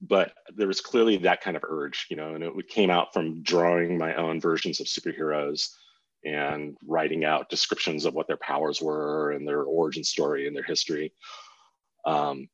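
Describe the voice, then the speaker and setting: A middle-aged American man with a husky voice, telling stories about himself as an interview guest in a casual, rambling, self-deprecating way. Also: rumbling low, level tone, normal speed. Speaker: guest author. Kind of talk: reflective interview storytelling. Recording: dull, roomy lo-fi sound.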